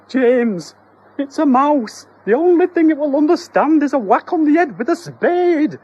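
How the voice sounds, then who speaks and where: A man's voice: expressive, unhurried, darkish tone. A man voicing a panicky, bossy middle-aged wife who screeches and scolds. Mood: exasperated. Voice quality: shrill, screeching. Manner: bossy, exasperated.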